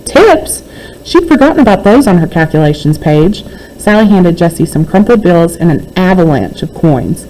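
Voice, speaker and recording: Mellow, lower-pitched woman reading a storybook. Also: animated, normal speed, slight background noise, rough recording. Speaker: read-aloud reader. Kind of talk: children's storybook narration.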